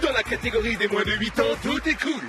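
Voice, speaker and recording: A man's voice: very high voice, brisk, music in the background. A man voicing an over-the-top, showy male tournament announcer with a singsong delivery in a children's cartoon. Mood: showy, upbeat. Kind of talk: animated children's comedy dubbing.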